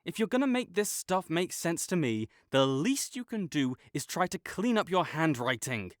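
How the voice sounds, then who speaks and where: A man's voice: on the high side, big pitch swings, moderate pace, dry recording, studio quality. A young man voicing a competitive male student rival who teases and bosses, then turns flustered and defensive. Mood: bossy. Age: young adult. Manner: teasing and bossy, gets flustered and defensive. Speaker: voice actor in an audio roleplay. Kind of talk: audio roleplay acting.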